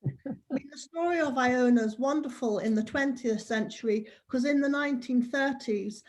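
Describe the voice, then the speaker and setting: A Scottish-born woman with an English accent, chatting warmly with many ums on a video call. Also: high voice, moderate pace, poor audio. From Scotland. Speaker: guest. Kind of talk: casual chat.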